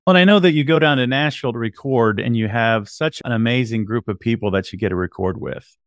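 A man's voice: mid-range voice, brisk, dry recording, studio quality. A man hosting a podcast, introducing and interviewing in a casual conversational style. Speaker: podcast host.

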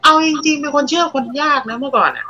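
A man with a bright, forceful voice and very lively intonation trades crude jokes as a podcast guest. He is blunt and casual, with faint music underneath. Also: very high-pitched, fast talker, muffled recording. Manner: joking, blunt, swearing.

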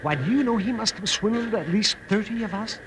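An actor playing an excitable, gullible old prospector in a western radio drama, stammering and repeating himself. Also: male voice, sits high, a little fast, lightly acted. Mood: excited. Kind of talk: 1950s western radio acting. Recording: noisy, crackly old radio recording.

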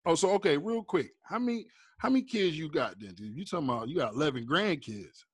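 A man's voice: on the high side, expressive, fast talker, husky, no room sound, clean studio sound. A man hosting a casual comedy interview, bantering in playful, slangy talk. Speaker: host, comedian.

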